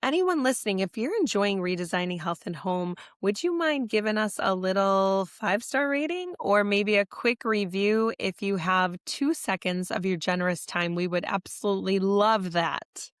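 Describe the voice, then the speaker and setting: A woman co-hosting a podcast with a slightly hoarse voice, asking questions in a gushing, friendly way. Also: mid-range voice, lively intonation, normal speed, hardly any pauses, no echo, studio quality. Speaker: podcast co-host. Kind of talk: casual chat.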